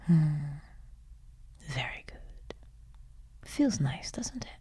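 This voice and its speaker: A woman leading a guided sleep meditation in a soothing, hushed and breathy voice, leaving long pauses. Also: low-pitched, big pitch swings, no room sound, in a whisper. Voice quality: breathy, husky.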